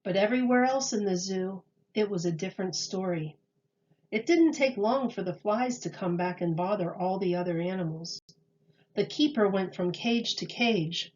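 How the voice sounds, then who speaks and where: A woman reading a children's story aloud with expressive, measured storytelling. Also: mid-range voice, lo-fi sound. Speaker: storybook reader.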